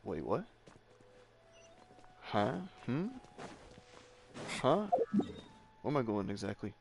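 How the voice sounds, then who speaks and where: A man's voice: lowish voice, sing-song, slow, gravelly, slight background noise. A man giving casual, slangy reaction commentary as he plays a game on stream.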